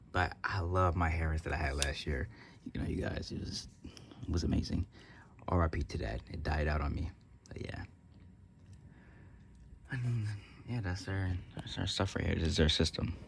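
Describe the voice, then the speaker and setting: Deep-voiced man giving a casual tech walkthrough. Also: animated, normal speed, long pauses, a bit bright, a little hiss or hum. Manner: rambling, trailing off with repeated filler phrases. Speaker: tech vlogger demonstrating an office phone system.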